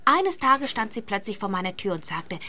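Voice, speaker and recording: A woman's voice: mid-range voice, big pitch swings, normal speed, sharp tone, a little hiss or hum. An actress playing a young woman looking for an old friend in a radio play, puzzled, chatty and inquisitive. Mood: earnest, chatty. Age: young adult. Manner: conversational, inquisitive.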